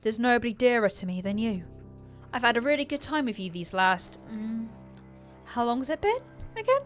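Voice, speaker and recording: A woman's voice: on the high side, very animated, moderate pace, soft background music, pitched above the performer's own voice. A woman voicing a female gravedigger who speaks in an offhand, empty, reasonable-sounding way. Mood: fond, offhand.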